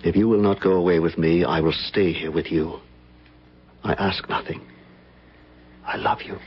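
An actor playing an earnest, devoted suitor, pleading ardently in old-time radio drama style. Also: male voice, sits low, fairly even intonation, moderate pace, slightly rough. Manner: ardent, insistent declarations. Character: earnest, devoted man pleading for his beloved. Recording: dull vintage radio broadcast recording.

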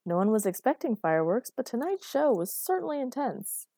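A wry woman lodger, as a woman narrator plays her, flippant and teasing. She sounds relaxed and amused, in a dry storybook recording. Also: a little high, brisk, no room sound, voice pushed up. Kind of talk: audiobook character dialogue.

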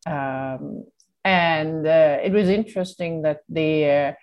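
Female interviewee, Italian accent, low register, unhurried and hesitant, thoughtful campaigner answering questions. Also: darkish tone. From Italy. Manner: halting, hesitant, searching for words. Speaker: guest, human rights campaigner.

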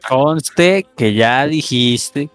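Brief: young Mexican co-host, mid-pitched, playful teasing, faint music behind. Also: male voice, very slow, dry recording. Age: 20s. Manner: teasing, mock-formal. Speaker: podcast co-host. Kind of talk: casual chat among friends.

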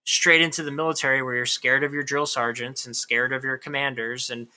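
Author guest in his thirties; sharp, bright voice; open and talkative. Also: medium pitch, little pitch movement, a little fast. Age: 30s. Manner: candid, talkative, lots of "like" fillers. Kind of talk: casual interview chat.

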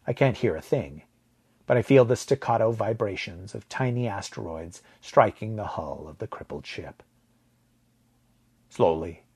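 Slow, husky low-voiced male narrator. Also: a little mellow. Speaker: audio fiction narrator. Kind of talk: dramatic scripted story narration.

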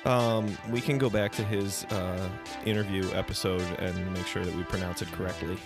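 A man's voice: sits low, steady tone, moderate pace, over music. A man co-hosting a podcast in casual chat, wry and joking, often laughing. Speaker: podcast co-host.

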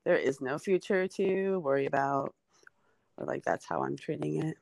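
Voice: mid-range voice, big pitch swings, moderate pace, vocal fry, noisy background. A comedian in their forties, guesting on a podcast in casual, rambling chat, full of fillers. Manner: rambling, filler-heavy, chatty.

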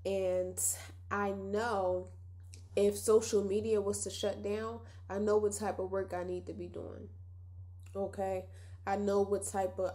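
A woman's voice: mid-range voice, a little mellow. A young woman with a nasal voice hosting a casual solo podcast, chatty and reflective, talking straight to her listeners. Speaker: podcast host.

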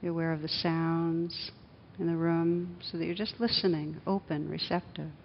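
Female meditation guide, fairly low register, calm instructive phrasing with pauses, faint rumbly noise behind a lo-fi capture. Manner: soothing, measured, with short phrases and pauses. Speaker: meditation teacher leading a group. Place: a room with a live group. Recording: dull lo-fi recording, clear background rumble noise.

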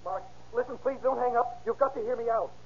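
An actor playing an intense, jealous and desperate young boyfriend in old-time radio drama style. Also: male voice, extremely high pitch, quick pace, slightly rough, heavily acted. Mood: subdued. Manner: intense, pleading or bullying. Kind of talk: 1930s-40s radio drama acting. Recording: muffled, noisy old-time radio recording.

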